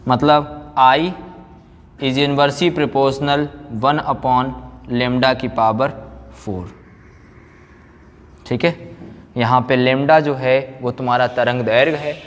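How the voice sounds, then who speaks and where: A man lecturing as a physics teacher, explaining with repetition, rhetorical questions and frequent check-ins. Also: medium pitch. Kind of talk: classroom lecture. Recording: echoey hall, long reverb.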